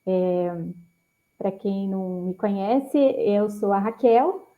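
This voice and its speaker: A woman's voice: medium pitch, takes it slowly. A woman who worked at a bank for years, a guest on a live stream, speaking hesitantly with many fillers through a headset. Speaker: guest, former bank employee. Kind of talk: casual live stream talk. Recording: tinny call audio.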